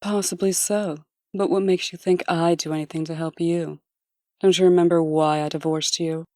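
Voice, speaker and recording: A woman plays a cold, businesslike ex-wife in a comic dub. Her voice is deep and dark, dryly recorded, and full of sardonic venom. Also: fairly even intonation, normal speed, no echo, clean studio sound, lightly acted. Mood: scornful. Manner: cutting, sardonic. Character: cold, venomous, no-nonsense ex-wife and busy administrator.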